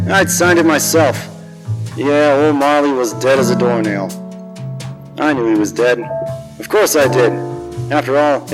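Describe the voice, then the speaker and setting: A man's voice: mid-range voice, close to an everyday voice, low-quality recording. A man playing a grumpy, world-weary private detective in a hardboiled 1940s radio drama, wisecracking in slang, with music behind him. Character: cynical, grumpy, hard-drinking private detective. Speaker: actor narrating as lead detective.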